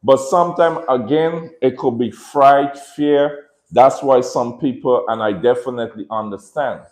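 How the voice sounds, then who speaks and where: A man's voice: mid-range voice, measured pace, darkish tone. A man from the Caribbean with a Caribbean accent, hosting a casual livestream from home. He talks in an earnest, reflective way, repeats phrases and often checks in with "right?". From Dominica, raised in Saint Martin. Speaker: livestream host and dog breeder. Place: room at home with dogs. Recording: echoey room.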